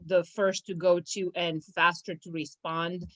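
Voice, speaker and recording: A woman's voice: on the low side, little pitch movement, unhurried, fairly bright tone, no room sound. A young woman from Romania, a law firm's business manager, talking casually in an interview, hesitant with many fillers and restarts.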